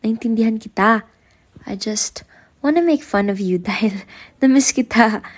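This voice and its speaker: A woman's voice: on the high side, measured pace. Woman acting teasing young Filipina sweetheart. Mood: affectionate. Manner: chatty, teasing. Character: playful, affectionate, teasing young woman in love. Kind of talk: amateur radio drama phone dialogue, Taglish.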